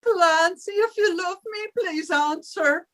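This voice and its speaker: A woman's voice: extremely high pitch, slow pace, bright, forceful tone, full character voice. A woman playing a theatrical, bossy older Irish widow and landlady in a radio comedy, given to wailing. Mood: wailing, pleading. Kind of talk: 1950s radio comedy acting.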